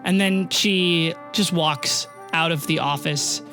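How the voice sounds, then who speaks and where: A game master improvising narration for a tabletop audio drama, informal and with dramatic pauses. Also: medium pitch, fairly even intonation, pushed and bright. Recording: music bed under the voice.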